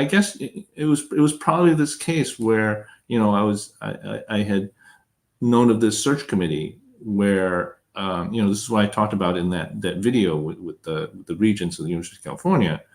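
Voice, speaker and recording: A man who is a guest and political candidate, speaking thoughtfully and hesitantly in a podcast interview, with many fillers. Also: fairly low pitch. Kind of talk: conversational interview.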